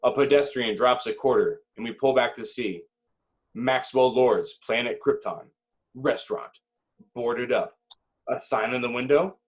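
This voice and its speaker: A man narrates screenplay directions in a mid-pitched voice. He reads slowly and dramatically, setting each phrase apart with deliberate little pauses. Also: poor audio. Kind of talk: dramatic scripted narration at an amateur table read.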